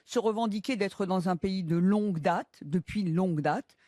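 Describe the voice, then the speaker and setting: A man's voice: high voice, normal speed, a little mellow, dry recording, studio quality. A man giving a spontaneous spoken opinion, hesitant and searching for his words.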